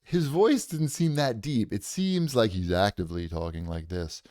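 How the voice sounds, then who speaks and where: Deep voiced guy chatting on a podcast, pretty dry sound, talks things through. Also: moderate pace, a little mellow, no echo. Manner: analytical, with frequent restarts. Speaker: podcast co-host. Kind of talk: casual chat.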